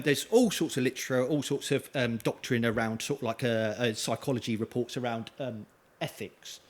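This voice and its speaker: Lively energetic male conference speaker. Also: medium pitch. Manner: energetic, asks the audience questions. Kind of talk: conference talk with audience questions. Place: conference hall.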